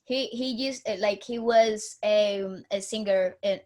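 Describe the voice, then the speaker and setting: A woman's voice: mid-range voice, takes it slowly. A woman from Venezuela, a native Spanish speaker, co-hosting a podcast in English and often reacting with exclamations. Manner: animated, exclaims a lot. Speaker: podcast co-host. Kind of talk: casual podcast chat.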